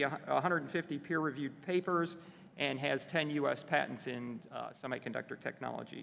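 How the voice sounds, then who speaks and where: Gravelly man introducing a speaker, hall echo. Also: a little high, a bit bright. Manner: halting, many fillers and restarts. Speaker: session chair introducing speaker. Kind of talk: conference introduction read from notes. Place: conference hall. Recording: long hall reverb.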